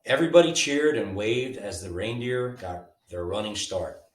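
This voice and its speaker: A man reading a children's bedtime story aloud in an animated, informal way, like a storyteller with a picture book. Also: lowish voice, moderate pace. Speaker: storybook reader. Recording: roomy, mic at a distance.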